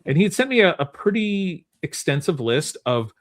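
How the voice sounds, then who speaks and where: A man's voice: a little high, very animated, normal speed. A man casually hosting a movie trivia game on a podcast, chatting and explaining to his guests. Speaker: podcast host.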